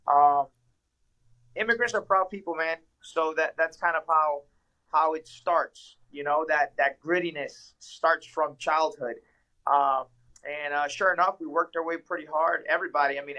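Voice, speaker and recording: A man's voice: fairly high pitch, bright, forceful tone, poor audio. A young man from Ecuador, a professional fighter, answering interview questions in an earnest, reflective way with frequent fillers. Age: young adult. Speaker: guest, professional mixed martial arts fighter.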